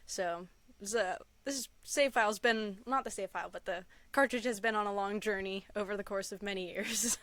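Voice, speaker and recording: A woman's voice: mid-range voice, moderate pace, a bit bright, dry recording. A woman chatting casually as a podcast guest, telling personal stories in an enthusiastic, giggly manner. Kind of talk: casual interview chat, personal storytelling.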